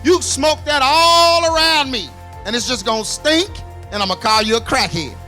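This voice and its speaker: A man's voice: extremely high pitch, moderate pace, bright, forceful tone, soft background music. A man preaching a sermon, calling out questions to the congregation. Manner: preaching with call-and-response questions.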